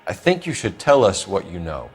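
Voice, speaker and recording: A composed detective, formal and probing, his low voice rising and falling freely. Clear music sits behind him in this game cutscene. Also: wildly swooping pitch, normal speed, darkish tone, exaggerated voice. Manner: polite but pressing, formal.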